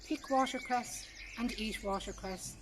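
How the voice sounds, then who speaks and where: A woman's voice: a little high, unhurried, pitched above the performer's own voice, close to an everyday voice. Woman as an insistent talking plant, noisy recording. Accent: Irish. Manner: chanting repeated commands. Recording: clear background noise with birdsong.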